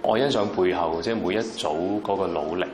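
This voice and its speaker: A man's voice: deep voice, a little fast, noisy background. A man with a Hong Kong Cantonese accent, talking reflectively as a schoolteacher in an interview. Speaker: secondary school Chinese teacher.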